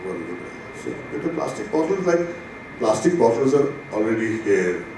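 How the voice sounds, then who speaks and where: Male conference speaker, somewhat deep, hesitant explanation, distant noisy recording. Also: big pitch swings, normal speed. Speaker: conference presenter answering questions. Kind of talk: spontaneous explanation. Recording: very echoey, distant hall mic, noisy.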